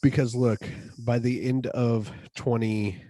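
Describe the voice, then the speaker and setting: A man in his twenties with an American accent co-hosts a podcast in a loose, slangy, buddy-like way. His voice sits at a middle pitch. The sound is very dry, with hardly any room to it. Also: fairly even intonation, slow, warm tone, no echo. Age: 20s. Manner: slangy, buddy-like, addressing others as bro and dude. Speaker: podcast co-host. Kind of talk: casual banter.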